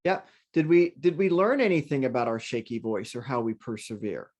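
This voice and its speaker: A man's voice: medium pitch, a little fast, darkish tone. A man presenting an online workshop in casual talk, friendly and rambling, often restarting his sentences. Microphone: video call.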